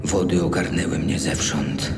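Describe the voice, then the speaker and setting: An actor playing a troubled, earnest Hebrew prophet in an audio Bible drama. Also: male voice, extremely low pitch, steady tone, noisy background. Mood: anguished prayer. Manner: earnest, measured, prayerful.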